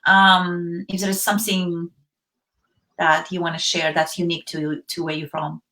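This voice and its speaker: Bright-voiced enthusiastic woman host. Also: lowish voice, flat delivery, normal speed. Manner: enthusiastic, rambling questions with many fillers. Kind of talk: casual live-stream interview.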